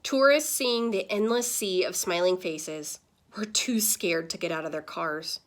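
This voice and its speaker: A woman reading a children's story aloud in the style of a teacher, with dramatic pauses and stressed words. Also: lowish voice.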